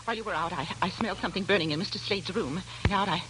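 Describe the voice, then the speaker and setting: A woman's voice: lowish voice, racing, very noisy, lightly acted. An actress playing an anxious, fretful landlady with breathless, hesitant delivery in 1940s radio melodrama style. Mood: worried, confiding. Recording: old radio broadcast, faint music.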